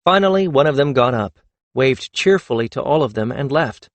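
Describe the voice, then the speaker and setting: A man reading a fantasy novel aloud at a steady, measured pace, with a mid-range voice. He sits close to the microphone in a dry, deadened booth with no echo at all. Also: medium pitch. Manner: measured, even storytelling. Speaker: audiobook narrator. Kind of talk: scripted fantasy audiobook narration.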